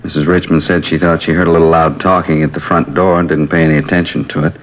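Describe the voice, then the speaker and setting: Deep-voiced, businesslike police captain. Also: male voice, flat delivery. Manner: measured, businesslike, plain-spoken. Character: steady, practical male police homicide captain. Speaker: actor in a police radio drama. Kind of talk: 1950s radio acting. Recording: muffled vintage 1950s radio recording.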